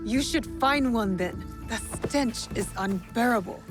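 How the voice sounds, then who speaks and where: A woman's voice: on the high side, measured pace, natural voice. An actress playing a resolute, wary female rebel with a dry, no-nonsense manner in a video game cutscene. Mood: dry, annoyed. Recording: music behind the voice. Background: music.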